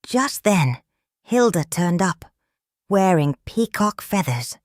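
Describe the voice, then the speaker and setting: A woman reading a children's picture book aloud in a calm, measured, expressive storytelling manner. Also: lowish voice, dry recording, clean studio sound. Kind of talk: children's read-aloud narration.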